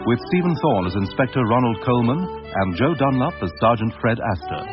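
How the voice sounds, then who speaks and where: A man's voice: medium pitch, animated, brisk. A man announcing scripted credits for a radio drama. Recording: dull sound under loud music.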